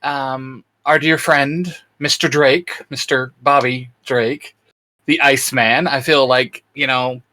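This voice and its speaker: A man co-hosting a fan discussion in a casual, chatty, animated way, often interrupting himself. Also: medium pitch, slow pace. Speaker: co-host of a fan discussion show.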